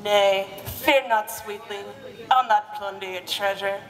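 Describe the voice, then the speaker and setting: A woman playing a gallant, seductive Highlander man in a comic romance reading. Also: steady tone, close to an everyday voice. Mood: devilish, coaxing. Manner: drawn-out, growling, seductive. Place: live stage at a bar party. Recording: echoey live hall, distant mic.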